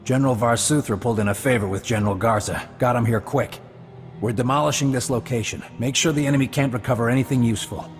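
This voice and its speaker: An actor playing a dry, authoritative intelligence officer in a video game, matter-of-fact, in a reverberant space. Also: male voice, medium pitch, fast, faint music behind, lightly acted. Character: composed, dry-humoured male intelligence squad leader.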